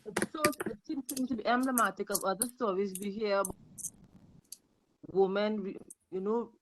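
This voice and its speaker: A woman's voice: mid-range voice, moderate pace, heavy background noise. A woman from Trinidad and Tobago, commenting emphatically in a video call discussion and repeating words for stress. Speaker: panel audience member.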